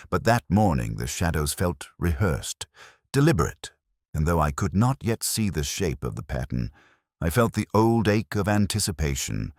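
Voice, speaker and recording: A man narrating a literary detective story in the first person, in a measured, brooding and formal manner with a husky voice. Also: low voice, darkish tone, no echo, studio quality.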